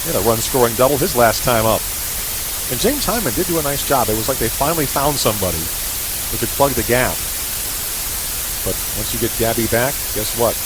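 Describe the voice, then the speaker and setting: A man's voice: mid-range voice, lively intonation, fairly quick, pauses often. A man calling live baseball play-by-play on the radio in clipped phrases that follow the action. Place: live baseball game broadcast. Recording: hissy, static-laden radio broadcast recording.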